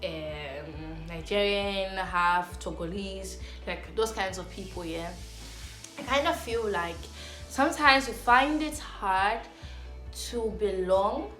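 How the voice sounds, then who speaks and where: A woman's voice: medium pitch, slow pace, a bit bright. A young woman from Zambia chatting to camera as a vlogger, rambling casually with lots of fillers and restarts. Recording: echoey room with music behind. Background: clear background music.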